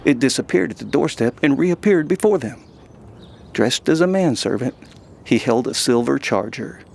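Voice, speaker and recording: A man's voice: mid-range voice, expressive, moderate pace, vocal fry, darkish tone. A man reading scripted fantasy narration as the storyteller of an audio drama.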